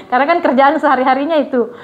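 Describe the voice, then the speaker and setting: A woman's voice: sits high, quick pace, muffled recording. Chatty woman coaching news anchors. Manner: chatty, many fillers and restarts. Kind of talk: casual coaching talk.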